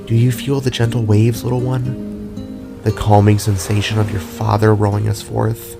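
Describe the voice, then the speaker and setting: Man as tender mother-to-be, loving, soft slow delivery, fantasy audio drama, low-pitched, music underneath. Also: level tone, gravelly. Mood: tender, soothing. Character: gentle expectant mother, tender and loving wife.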